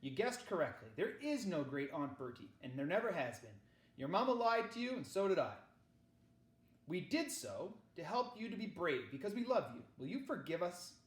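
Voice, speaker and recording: A man's voice: high-pitched, sing-song, moderate pace, voice pushed up. A man reading a children's novel aloud, voicing an earnest, protective uncle with an emphatic, tender and wryly grave delivery. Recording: roomy echo.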